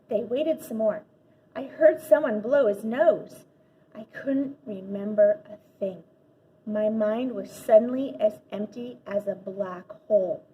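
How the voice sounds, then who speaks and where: Expressive woman reading aloud, dull noisy recording. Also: on the high side. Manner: animated, dramatic pauses and emphasis. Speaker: teacher reading a book aloud. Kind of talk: expressive children's audiobook-style read-aloud.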